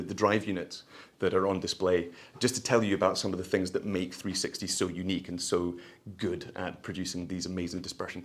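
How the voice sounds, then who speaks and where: A man giving a product launch presentation as a company presenter, in a polished, florid sales manner with hesitant asides. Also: sits low.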